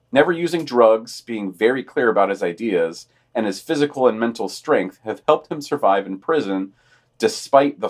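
A man hosting a podcast, reading scripted news items and dropping in dry, opinionated asides. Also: mid-range voice.

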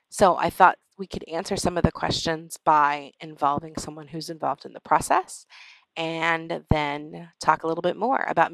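A woman hosting a podcast conversation, speaking in a measured, halting, thoughtful way. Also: fairly low pitch, creaky, sharp tone, no echo, low-quality recording. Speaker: podcast host. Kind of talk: conversational interview with reflective remarks.

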